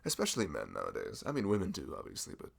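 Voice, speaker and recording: A man's voice: wildly swooping pitch, racing, exaggerated voice. A man playing a sweet, bashful young male florist in a chatty, hesitant ASMR roleplay, with a velvety voice. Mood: earnest, gentle. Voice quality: velvety, low, creaky. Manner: chatty, hesitant, rambling, self-deprecating. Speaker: ASMR voice performer. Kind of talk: ASMR roleplay, improvised casual chat.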